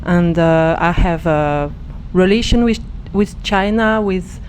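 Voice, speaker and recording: A woman from Switzerland, an artist speaking English haltingly, searching for her words in an interview. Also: lowish voice, a little mellow, a little hiss or hum. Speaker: artist and director. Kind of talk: interview answers.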